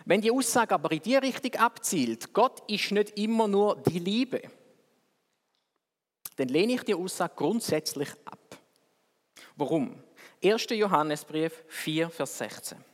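A man with a Swiss German accent, preaching a sermon in a conversational, wry way that swings between quick and slow delivery. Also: high voice, animated. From Switzerland. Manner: conversational, wry, speeds up and slows down for emphasis. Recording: long reverb of a hall.

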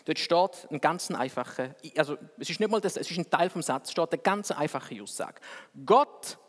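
A man with a Swiss German accent, preaching a sermon in a conversational, wry way that swings between quick and slow delivery. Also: a little high, expressive. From Switzerland. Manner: conversational, wry, speeds up and slows down for emphasis. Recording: long reverb of a hall.

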